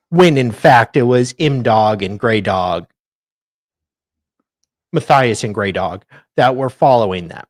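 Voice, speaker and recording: A co-host mixing dramatic reading of documents with casual chat in a deliberate, wry way. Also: medium pitch, dry recording.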